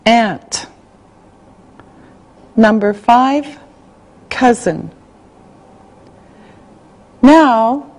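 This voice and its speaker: A woman reading a language-class listening exercise, spelling out words and dictating sentences slowly and carefully. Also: on the high side, mellow. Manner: slow, carefully spelled out, evenly paced. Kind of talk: slow scripted dictation.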